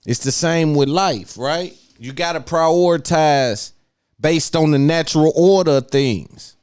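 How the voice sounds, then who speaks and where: A man's voice: on the high side, measured pace, a little mellow, smooth, dry recording, clean studio sound. A man hosting a podcast, giving an opinionated monologue and repeating phrases for emphasis. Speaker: podcast host.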